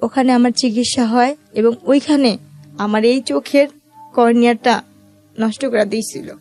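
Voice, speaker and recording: A woman's voice: fairly high pitch, fairly even intonation, normal speed, darkish tone, no room sound, soft background music. A woman with a Bangladeshi accent telling her own life story as an interview guest, in colloquial, conversational speech.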